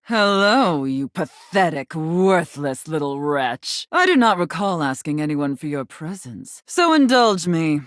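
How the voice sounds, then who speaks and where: A woman voicing a haughty, contemptuous mistress in a video game, imperious and condescending, with drawn-out, teasing menace. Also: sits low, sing-song, no room sound, clean studio sound. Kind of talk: theatrical scripted game dialogue.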